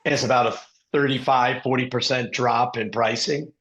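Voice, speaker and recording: A man hosting an interview with a hoarse, scratchy voice, rambling and filling pauses with ums and uhs. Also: mid-range voice, steady tone, a bit bright. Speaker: podcast host and investor. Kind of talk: casual interview talk.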